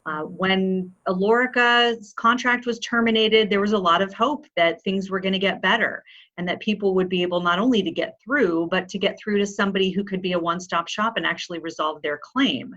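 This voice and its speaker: A woman's voice: medium pitch, brisk, a bit bright. A woman reporter putting pointed questions to an official in an interview. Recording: dull, lo-fi interview audio.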